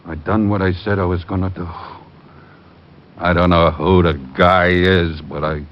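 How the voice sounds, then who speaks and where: A man's voice: deep voice, level tone, a little slow. A man playing a tough, uneducated, heavy-hearted gangster with blunt street talk in a radio drama. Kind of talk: 1940s-50s radio crime drama acting. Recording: muffled old radio recording.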